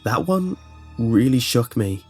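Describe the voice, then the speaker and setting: Male narrator, scripted horror storytelling, mid-pitched voice, music in the background. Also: sing-song, slow, a little mellow, slightly rough. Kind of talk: scripted horror narration, reading first-person anecdotes. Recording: voice-over with music behind.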